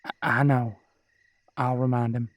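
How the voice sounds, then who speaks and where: A man's voice: medium pitch, flat delivery, measured pace, dry recording, close to an everyday voice. A man playing an easygoing, protective, brotherly werewolf in a casual roleplay audio drama. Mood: easygoing, concerned. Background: crickets at night.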